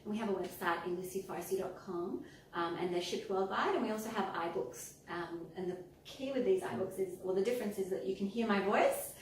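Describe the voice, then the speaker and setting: A woman with a Persian Australian accent explains her books earnestly, pausing with ums. She is recorded in a very echoey room from a distant mic with faint noise underneath. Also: medium pitch. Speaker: guest, children's book author and pharmacist. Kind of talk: interview answers.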